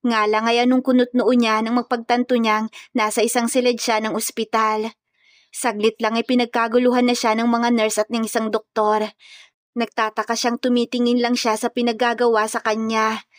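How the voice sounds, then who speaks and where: A woman's voice: a little high, flat delivery, moderate pace, dry recording, clean studio sound. A woman reading scripted dramatic story narration as a storyteller.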